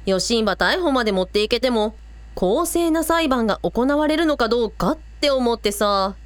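A woman's voice: a little high, lively intonation, normal speed, dry recording, natural voice. A woman playing a frank, confident older sister in a radio drama, blunt and casual but able to turn formal. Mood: concerned. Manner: blunt, casual, formal when addressing royalty.

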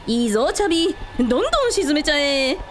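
A woman playing a brash, hot-blooded elder sister and shrine priest in a radio drama, bossy and rough-mouthed. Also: high voice, animated, fast talker, noisy background. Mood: rowdy, egging on.

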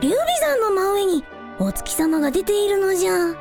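A woman's voice: extremely high pitch, big pitch swings, normal speed, creaky, music in the background, full character voice. A woman playing a childlike, brave young dragon prince in a radio drama, with quaint, old-fashioned speech. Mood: dreamy, hungry. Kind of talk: Japanese radio drama acting.

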